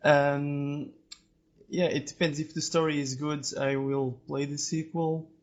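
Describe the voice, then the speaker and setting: A man talks casually as a podcast guest, with little movement in his pitch. He is hesitant and halting as he searches for words. The recording is dull and lo-fi. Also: flat delivery. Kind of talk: casual discussion of opinions. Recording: dull, lo-fi voice-chat audio.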